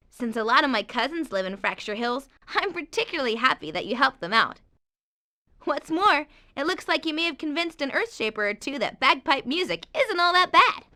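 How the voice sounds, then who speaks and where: Casting: perky young female faun guide for a cartoon video game, very high voice, swooping intonation, expressive storytelling. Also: a little hiss or hum, exaggerated voice. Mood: excited congratulating. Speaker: narrator of game cutscenes. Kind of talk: animated video game narration. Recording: game music under the voice.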